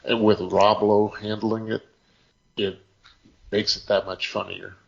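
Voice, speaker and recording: A man telling anecdotes in casual podcast chat, speaking in short phrases broken by pauses. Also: fairly low pitch, little pitch movement, vocal fry, dull audio. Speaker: podcast co-host.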